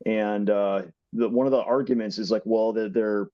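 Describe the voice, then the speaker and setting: A man's voice: on the low side, steady tone, normal speed, dry recording. A man co-hosting a podcast, explaining things casually in simple, repeated phrases. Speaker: podcast co-host. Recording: dull, band-limited dry microphone sound.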